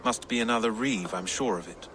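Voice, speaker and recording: Salty, sardonic reeve over clear noise, a man acting. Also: on the low side, a little fast, natural voice. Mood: indignant, scornful. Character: wry, foul-mouthed, defiant male medieval English reeve. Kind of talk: dramatic video game acting.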